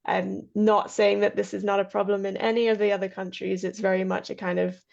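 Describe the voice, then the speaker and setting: A woman's voice: medium pitch, level tone, fairly quick, vocal fry, a little mellow. A woman answering questions on a panel, hesitant and full of restarts, over a video call. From Kenya. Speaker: researcher and film panelist. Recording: dull lo-fi video call audio.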